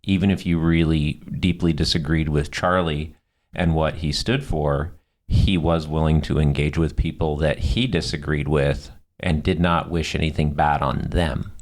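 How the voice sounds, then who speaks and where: Man, extremely deep voice, casual podcast co-host, opinionated, steers the conversation. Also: fairly even intonation, normal speed.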